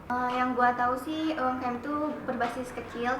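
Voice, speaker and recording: A nineteen-year-old woman answering interview questions casually and briskly. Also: high voice, fairly even intonation. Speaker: interviewee, student. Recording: distant echoey room, noisy background.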